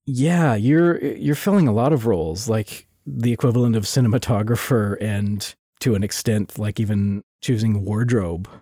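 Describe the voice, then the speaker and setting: Mellow, low-voiced man chatting casually. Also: moderate pace, few pauses, no room sound, studio quality. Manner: relaxed, laughs often, frequent restarts. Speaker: sound designer guest. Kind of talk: casual interview chat. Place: lives in Toronto.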